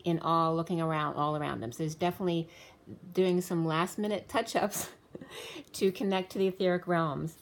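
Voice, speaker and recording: A woman giving a casual tarot reading, rambling with restarts and going a little hoarse as she loses her voice. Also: fairly low pitch. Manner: rambling, many restarts and fillers, reads off card names and times. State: losing her voice. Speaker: tarot reader. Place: indoors, room with a table of spread cards.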